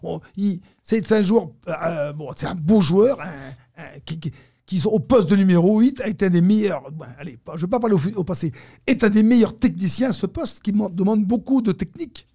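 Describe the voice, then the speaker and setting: High, creaky male sports pundit. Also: animated, normal speed, mellow. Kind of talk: radio sports discussion.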